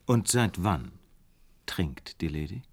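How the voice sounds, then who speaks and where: A shrewd gentleman detective questions and reasons in a radio drama. The voice is low and very varied in melody, with a calm, analytical, probing manner. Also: slow pace, breathy edge, heavily acted. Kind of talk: German radio play acting.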